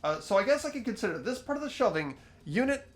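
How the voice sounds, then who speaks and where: A man's voice: high voice, big pitch swings, quick pace. An amateur actor voicing an earnest teenage boy protagonist in a visual novel game, thinking aloud as he investigates. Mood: pondering. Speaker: host of the playthrough. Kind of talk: fan-dubbed visual novel game narration.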